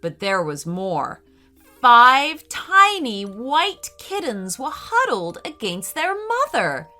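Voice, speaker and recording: A woman narrating a scripted children's bedtime story in a careful, expressive, dramatic storytelling manner. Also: on the high side, slow, soft background music.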